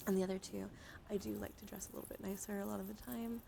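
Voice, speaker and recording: Creaky, soft-spoken woman doing ASMR. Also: mid-range voice, little pitch movement, very fast, a little hiss or hum. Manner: soothing, drifting between whispers and soft speech; affectionate toward listeners. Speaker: live streamer hosting ASMR. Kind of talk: ASMR, soft intimate chat with live viewers.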